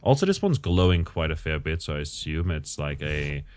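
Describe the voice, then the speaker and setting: Deep-voiced guy playing a card game, strained voice, talking to himself, faint hiss. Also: very animated, normal speed, darkish tone. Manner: thinks aloud, muttering calculations and self-corrections. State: voice strained lately. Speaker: gameplay commentator. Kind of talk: casual gameplay commentary.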